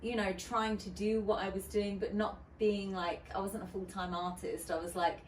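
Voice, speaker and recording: A woman's voice: mid-range voice, little pitch movement, moderate pace. A woman, a DJ guest on a podcast, talking candidly and chattily with lots of fillers and restarts. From United Kingdom. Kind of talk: casual podcast interview. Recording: roomy, distant mic with faint noise.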